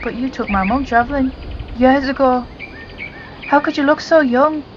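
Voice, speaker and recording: A woman's voice: high voice, moderate pace, highs cut off, a little hiss or hum, natural voice. A woman playing a bitter, sceptical middle-aged village mother in an audio drama, curt and sarcastic. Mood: disbelieving.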